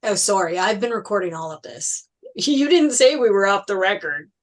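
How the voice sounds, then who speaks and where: An actor playing a teasing, jokey brother in a naturalistic family drama table read. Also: male voice, high-pitched, very animated, normal speed, fairly bright tone. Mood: teasing, exasperated.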